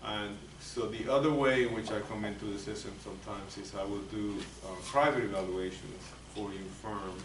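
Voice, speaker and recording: A man in his sixties, a native Spanish speaker with a Spanish accent, lecturing lawyers as a psychologist in a soft, hesitant way with many fillers. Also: on the low side, moderate pace, hardly any pauses. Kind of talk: continuing-education lecture. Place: meeting room. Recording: echoey room, mic far off, noisy.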